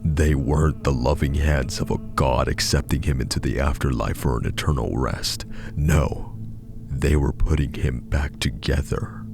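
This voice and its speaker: A man reading a scripted horror story as narrator, in a measured, dramatic way. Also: a bass voice, fairly even intonation, gravelly. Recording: music behind the voice.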